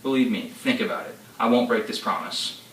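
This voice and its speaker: A domineering, hot-tempered noble father, as a man plays him with a bright, forceful tone and a scolding, ranting manner. The recording is echoey and distant, with a faint hum of ambience behind it. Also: lowish voice, brisk, close to an everyday voice. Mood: raging.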